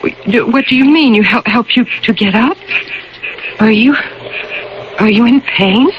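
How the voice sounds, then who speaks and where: Actress as earnest wife on muffled old radio. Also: female voice, on the high side, measured pace, background noise, lightly acted. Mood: worried, insistent. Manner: earnest, urgent, repeating herself. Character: devoted, anxious wife of an older man, narrating her story. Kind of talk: radio drama acting and first-person narration.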